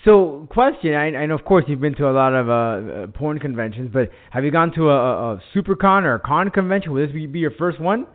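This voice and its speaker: Fast-talking male radio host, lo-fi sound. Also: fairly high pitch. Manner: rapid, with many restarts and short backchannels. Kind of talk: casual interview. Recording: narrow lo-fi broadcast audio.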